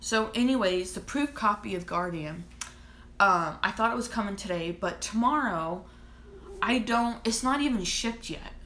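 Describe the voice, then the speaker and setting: A woman's voice: mid-range voice, animated, moderate pace, fairly bright tone, echoey room, slight background noise. A woman in her thirties chatting casually to camera as a vlogger, rambling and restarting her thoughts. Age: 30s. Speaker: vlogger and author.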